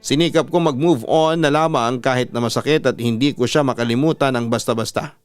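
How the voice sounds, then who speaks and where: A man's voice: medium pitch, fairly quick, smooth, no room sound, faint music behind. A man, a radio announcer, narrating a scripted dramatic story in the first person.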